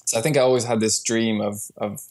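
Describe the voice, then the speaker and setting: A man's voice: lowish voice, fairly even intonation, brisk, darkish tone, a little hiss or hum. A man from Sweden who partly grew up in Brazil, talking casually as a podcast guest, often restarting his sentences. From born in Sweden, partly raised in Brazil. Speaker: podcast guest, startup founder. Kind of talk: casual conversational interview.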